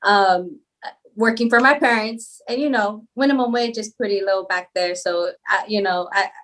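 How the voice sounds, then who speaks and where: A woman's voice: mid-range voice, moderate pace, a bit bright. A woman from Palau, a podcast guest, talking casually and laughing often as she tells her story. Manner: rambling and laughing easily. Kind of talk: casual interview chat.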